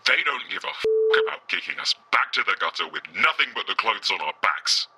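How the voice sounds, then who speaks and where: An actor playing a bitter, disillusioned whistleblower in an audio drama, husky and harsh, on a distorted radio-like recording. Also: male voice, on the low side, sing-song, brisk, heavy background noise.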